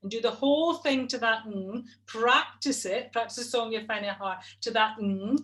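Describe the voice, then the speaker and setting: A woman's voice: a little high, normal speed, fairly bright tone. A middle-aged woman coaching singers over a video call, explaining technique in a chatty, instructive way. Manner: chatty and instructive, demonstrates hums. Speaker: vocal coach. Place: home room, video call. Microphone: video call webcam.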